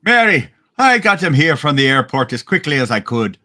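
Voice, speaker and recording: A man playing excitable, grateful small-town folk in a hammed-up amateur Christmas radio play, speaking theatrically. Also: fairly high pitch, moderate pace, pitched below the performer's own voice. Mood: excited.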